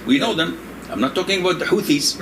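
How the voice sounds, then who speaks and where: A man's voice: high voice, lively intonation, fast. Older man scholar, joking, noisy room. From Indonesia. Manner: digressive, joking, laughs often. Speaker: Islamic scholar answering questions. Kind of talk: conversational religious discussion. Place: room in Saudi Arabia. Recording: noisy room with air conditioning hum.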